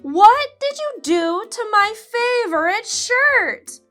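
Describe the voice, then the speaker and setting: A woman's voice: extremely high pitch, very animated, slow, pushed and bright, faint music behind, pitched above the performer's own voice, heavily acted. A woman voicing an upset, bossy older sister in a storybook reading. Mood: angry.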